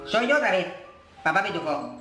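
A man's voice: expressive, brisk. A man voicing a small, insistent cartoon elder, as a prank caller. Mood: indignant. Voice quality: thin, squeaky from helio. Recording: echoey room, music behind.

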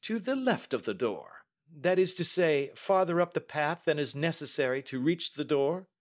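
A man voicing a brisk, astute Victorian gentleman detective with crisp, courteous questioning, in audiobook style. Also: fairly high pitch, lively intonation, voice pushed up, close to an everyday voice. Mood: assured, brisk. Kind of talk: audiobook character acting.

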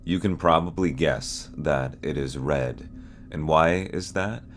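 Very deep-voiced man narrating ominously. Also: slow pace, slight background noise. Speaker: host and narrator of a horror explainer channel. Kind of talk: scripted narration.

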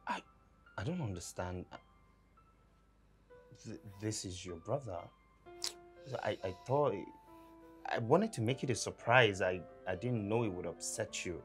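A man acting an earnest, apologetic husband in a film drama, halting and stammering when pressed. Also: lowish voice, lively intonation, faint music behind. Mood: defensive, apologetic. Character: earnest, apologetic husband who gets loudly indignant.

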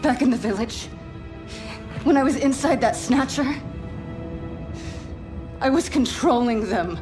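A woman's voice: fairly high pitch, expressive, unhurried, music in the background. An actress playing a troubled but determined young woman soldier in a dramatic video game cutscene. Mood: anguished, distraught.

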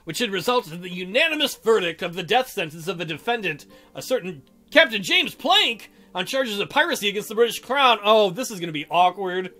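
A man's voice: high voice, sing-song, fairly quick, hardly any pauses, bright, forceful tone. A man reading a visual novel aloud as a let's-play, joking and theatrical with playful commentary. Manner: joking, theatrical, often giggling. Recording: music under the voice.

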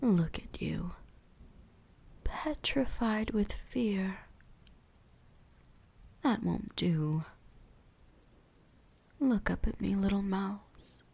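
A woman's voice: medium pitch, mellow, full character voice. Woman playing a whispering, seductive vampire huntress. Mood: teasing, possessive. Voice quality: breathy, whispery, husky. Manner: slow, teasing, commanding. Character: seductive, menacing, possessive vampire huntress toying with prey. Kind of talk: whispered ASMR roleplay.

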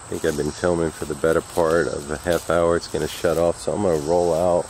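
A middle-aged man with a gravelly voice, talking casually and a little uneasily to viewers in a rambling handheld vlog. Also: rumbling low, level tone, brisk, darkish tone, low-quality recording. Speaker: urban exploration vlogger. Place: abandoned derelict house and surrounding woods. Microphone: handheld camera at arm's length. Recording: faint outdoor noise with chirping insects.

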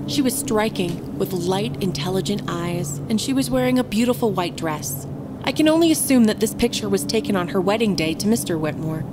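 A woman telling a scary first-person story as scripted narration, in a deliberate, dramatic manner. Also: medium pitch. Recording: loud rain and ambience behind voice.

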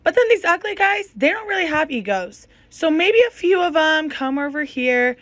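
A young woman explains her point in a chatty, matter-of-fact way, her voice high. The recording is close and dry. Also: lively intonation, normal speed, a bit bright, dry recording. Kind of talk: casual explainer.

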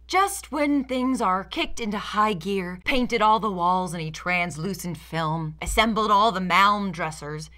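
A woman's voice: mid-range voice, a little slow, hardly any pauses, sharp tone. A young woman giving scripted, sarcastic commentary as a video essayist, with a theatrical, mock-indignant manner. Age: young adult.

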